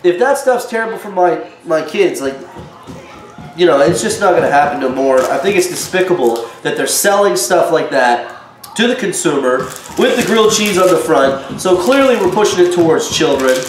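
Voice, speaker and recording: A man's voice: on the high side, lively intonation, normal speed. A man in his thirties with a head cold, talking indignantly to the camera in his home kitchen as a vlogger. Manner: indignant, warning tone. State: stuffed up with a cold. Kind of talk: casual home vlog. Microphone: camera mic at a short distance. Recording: echoey kitchen, faint noise.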